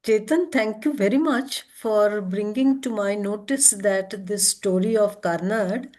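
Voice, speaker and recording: A woman's voice: medium pitch, unhurried, darkish tone. A woman talking in an informal book club discussion.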